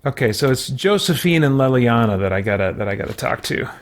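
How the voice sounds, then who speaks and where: A man's voice: medium pitch, expressive, fairly quick. A man in his thirties giving casual commentary as he plays a video game, recorded close up indoors. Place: indoor room with shelves at home. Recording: faint game noise and clicking behind.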